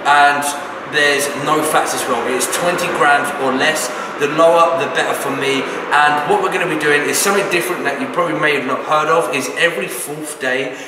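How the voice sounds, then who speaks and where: A British man with a croaky, just-woken voice, talking casually to camera about his training and diet in a brisk, matter-of-fact way. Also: fairly high pitch, bright, forceful tone, a little hiss or hum. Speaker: bodybuilding vlogger. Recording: echoey room, from a distance.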